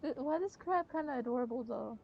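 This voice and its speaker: A woman's voice: sits high, lively intonation, fairly quick, creaky, dull audio, a little hiss or hum. A young woman chatting casually while playing a game, heard through a poor-quality mic. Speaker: gamer playing and reacting. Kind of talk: casual chat while gaming. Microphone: low-quality gaming voice chat.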